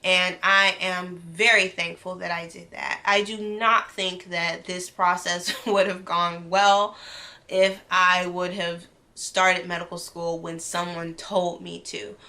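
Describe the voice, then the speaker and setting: A woman's voice: on the low side, flat delivery, slow pace, sharp tone. A woman in her late twenties, a medical student, talking earnestly to camera in a casual vlog, with ums and restarts. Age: 20s.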